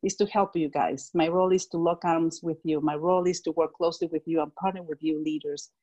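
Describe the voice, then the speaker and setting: A middle-aged woman from Mexico with a Mexican Spanish accent, speaking on a video call through an earphone mic, enthusiastic and quick to laugh. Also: low-pitched, fairly even intonation, warm tone, dull audio. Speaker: direct sales executive.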